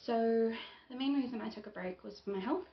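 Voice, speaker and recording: A young woman chatting casually on her book vlog, with plenty of ums and soft laughs. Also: on the high side, brisk. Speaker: book YouTuber. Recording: echoey room, dull lo-fi sound, faint hiss.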